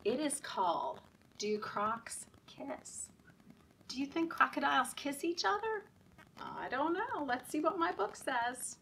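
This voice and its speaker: A woman leading story time for young children in a sing-song, deliberate, encouraging way, often asking the children questions. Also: high voice, lively intonation, gravelly, fairly bright tone, recorded from a distance, a little hiss or hum, rough recording.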